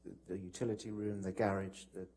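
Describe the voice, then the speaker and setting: Male witness, low-pitched and mellow, polite and hesitant, flat intonation, for courtroom testimony. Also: brisk. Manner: polite, hesitant, broken phrasing. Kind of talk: courtroom testimony, recounting from memory.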